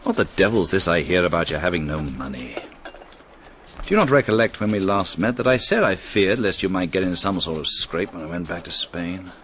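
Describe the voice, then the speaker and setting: Deep, imperious army commander. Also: male voice, normal speed, a bit bright, slight background noise. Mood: dictating, fondly brusque. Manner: imperious, dry, clipped. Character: brusque, sardonic aristocratic army commander, privately fond. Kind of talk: radio drama acting.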